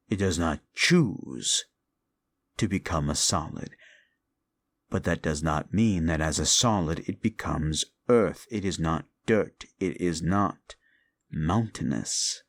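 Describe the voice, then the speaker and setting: A man voicing a brooding, bitter fallen demon who lectures the listener in a slow, condescending way in an audio roleplay drama. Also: deep voice, exaggerated voice.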